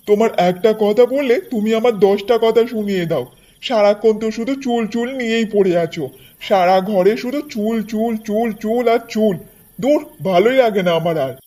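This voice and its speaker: A man's voice: sits high, moderate pace, mellow, lightly acted. A man voicing a fed-up, henpecked village husband in a cartoon folktale, grumbling wearily. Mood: weary, annoyed. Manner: weary grumbling. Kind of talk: Bengali cartoon folktale acting.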